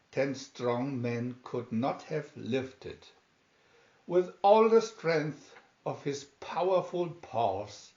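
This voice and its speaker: A man reading a children's adventure story aloud as scripted narration, with dramatic, deliberate, emphatic phrasing. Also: a little high, darkish tone. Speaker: reader of children's picture booklets. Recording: dull, echoey home recording.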